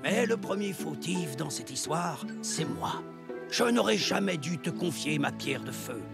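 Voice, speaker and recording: A man's voice: on the high side, animated, measured pace, creaky. A man voicing a stern but fair prehistoric clan chief in a French children's cartoon, solemn and authoritative. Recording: loud music behind the voice.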